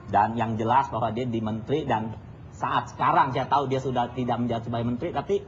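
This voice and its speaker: A man's voice: medium pitch, steady tone, fast talker. A man speaking as a guest in a televised debate, rambling, with hesitant restarts. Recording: dull archive TV audio with faint hiss.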